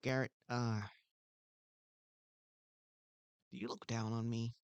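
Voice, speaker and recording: A man's voice: medium pitch, level tone, fairly quick, clean tone, no echo, natural voice. A man playing an insecure, self-important security guard who rambles and stammers. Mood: needy. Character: insecure pompous male mall security guard. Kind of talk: improvised comedy scene.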